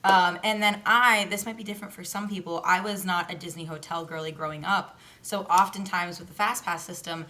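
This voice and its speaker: A woman's voice: on the low side, fairly even intonation, a little fast, few pauses, pushed and bright. A young woman co-hosting a casual podcast, chatty and opinionated, often laughing as she talks. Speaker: podcast co-host. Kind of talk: casual chat.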